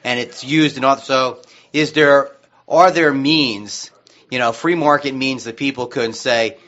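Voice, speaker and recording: An American man co-hosting a radio show in casual discussion, rambling haltingly and often restarting mid-sentence. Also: medium pitch, steady tone, a bit bright, dull audio.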